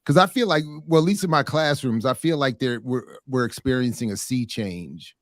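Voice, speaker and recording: A middle-aged man conducting a casual interview, feeling his way through long questions with stammers and searching pauses. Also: mid-range voice, dry recording, clean studio sound. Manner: hesitant, searching, stammers over phrasing. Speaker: interviewer, academic.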